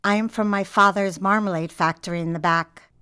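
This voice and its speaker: A woman's voice: medium pitch, steady tone, brisk, bright, forceful tone, smooth. An older woman reciting personal memories in a reflective way.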